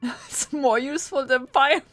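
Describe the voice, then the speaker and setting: A woman's voice: sits high, measured pace, a bit bright, no room sound, soft background music. A woman giving casual, playful gameplay commentary, giggly and reacting aloud as she plays. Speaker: gaming streamer.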